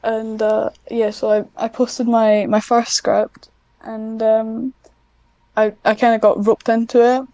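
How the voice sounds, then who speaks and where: Soft-toned, high-pitched woman, even delivery. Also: takes it slowly, dry recording, lo-fi sound. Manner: hesitant, self-deprecating. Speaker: podcast guest, amateur scriptwriter. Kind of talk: casual interview chat.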